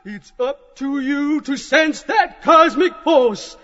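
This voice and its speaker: A man's voice: very high voice, lively intonation, takes it slowly, fairly bright tone, voice pushed up, exaggerated voice. A man voicing a fervent evangelist prophet who hawks salvation in folksy, hectoring showman's patter, in an audiobook drama. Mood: exhorting. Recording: muffled audio in a long echoing hall.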